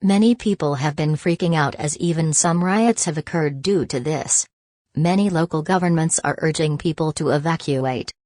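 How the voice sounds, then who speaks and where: A woman playing a formal, measured TV news anchor reading a scripted breaking news bulletin. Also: sits low, darkish tone, no echo, studio quality, close to an everyday voice. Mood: serious, composed.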